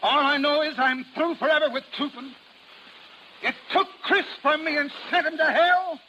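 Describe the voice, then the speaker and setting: A middle-aged carnival barker, bitter and forceful, with rough slangy speech. His voice sits high and bright in a faintly noisy 1930s radio drama recording. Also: fairly even intonation, normal speed, muffled recording. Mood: defiant, bitter. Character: bitter, hot-tempered carnival barker and possessive father.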